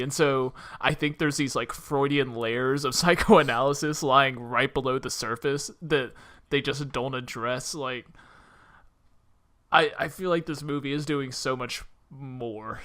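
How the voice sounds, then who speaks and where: A man's voice: medium pitch, a bit bright. A man co-hosting a film podcast, talking casually and animatedly, often with a giggle in his speech.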